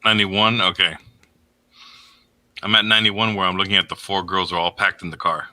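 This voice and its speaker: A man on a live stream chatting casually and enthusiastically, pausing with fillers. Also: deep voice, level tone, pushed and bright.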